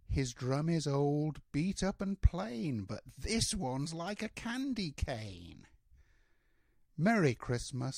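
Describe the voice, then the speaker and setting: An older man, a grandfather, reading a rhyming children's storybook aloud in a measured, expressive way with drawn-out words. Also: medium pitch, no room sound, studio quality.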